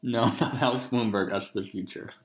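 A man's voice: fairly low pitch, brisk. A thirty-year-old man chatting casually and jokingly at home as he hosts a recorded conversation with his partner. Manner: joking, teasing. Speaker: podcast host interviewing his partner. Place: kitchen, at the table during a meal. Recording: roomy echoing home recording.

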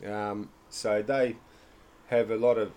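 Relaxed man, rambling explanations. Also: lowish voice, takes it slowly. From Australia. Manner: rambling, explains with many fillers and restarts. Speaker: interviewee. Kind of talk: casual conversation.

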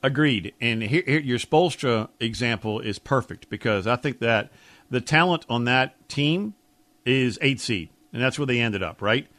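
An American man with a medium-pitched voice co-hosts sports radio. He is blunt and emphatic, talking casually and colloquially, close to the mic in a dry studio. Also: animated, measured pace, a bit bright, no echo. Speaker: sports talk radio co-host. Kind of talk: opinionated sports talk, casual chat.

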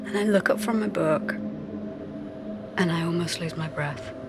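Actress as low-voiced, nervous, confiding married woman. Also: moderate pace, over loud music, close to an everyday voice. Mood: wistful, confiding. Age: 40s. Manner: hesitant, confiding, self-conscious. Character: nervous, wistful married woman in her forties confessing a fantasy. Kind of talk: intimate audio drama monologue.

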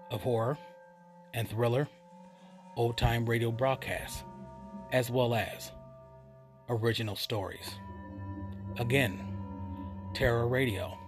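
A man reading an ominous, scripted promo as a horror podcast host. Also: mid-range voice.

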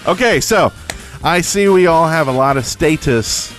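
A man's voice: on the high side, a little slow, over music. A man co-hosting a podcast, chatting casually about games in a joking, teasing way. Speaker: podcast co-host. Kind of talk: casual gaming podcast chat.

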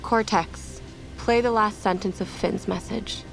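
An actress playing a troubled scientist in a science-fiction audio drama, speaking in a measured, hesitant, naturalistic way. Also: female voice, mid-range voice, steady tone, vocal fry, faint music behind, low-quality recording. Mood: troubled, pensive.

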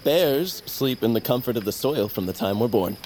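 A man's voice: mid-range voice, animated, normal speed, darkish tone, a little hiss or hum, natural voice, rough recording. An actor in an audio drama playing a nervous, earnest young tribesman who stammers and pleads. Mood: polite, awkward.

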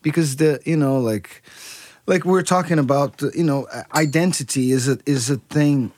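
A man's voice: a little high, moderate pace, a little mellow, a little hiss or hum. A man from Brazil, a musician, talking casually in an interview with many restarts and fillers. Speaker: musician guest.

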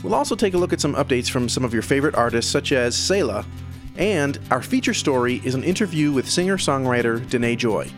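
A man's voice: mid-range voice, animated, fairly quick. A man hosting an audio newsletter, reading scripted music news in a presenter's style. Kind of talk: scripted narration. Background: background music.

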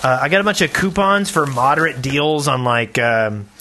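A man's voice: medium pitch, moderate pace, pushed and bright, a little hiss or hum. A man co-hosting a podcast, chatting casually in a jokey, playful way. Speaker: podcast co-host. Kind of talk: casual chat.

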